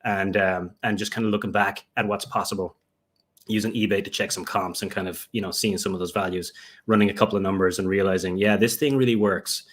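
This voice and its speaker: Low-voiced Irish man, rambling. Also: steady tone, fairly quick, a bit bright. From Ireland. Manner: rambling, many fillers and restarts. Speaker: guest, Lego investor. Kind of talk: remote interview answers.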